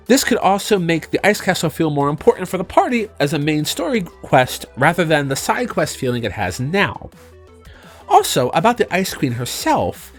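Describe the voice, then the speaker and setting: A man narrating a video game review in a conversational, emphatic way, as a channel host. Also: medium pitch. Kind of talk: semi-scripted review narration. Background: background music.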